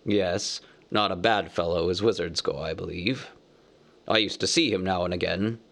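A man reading a storybook aloud, voicing a huge, gruff, bearded man who growls his blunt, wary questions. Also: sits low, moderate pace, a bit bright, voice pushed down.

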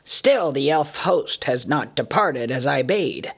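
A man's voice: medium pitch, expressive, breathy edge, heavily acted. A man reading an audiobook, voicing a proud, stubborn older dwarf king with a grave, wrathful manner. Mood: stern. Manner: grave and measured, growing furious.

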